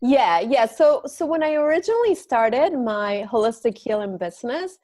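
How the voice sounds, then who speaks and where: A woman's voice: fairly high pitch, sing-song, normal speed, darkish tone, no room sound. Woman speaking in a dry, close recording. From Argentina. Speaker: guest, yoga and meditation teacher. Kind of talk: casual interview talk.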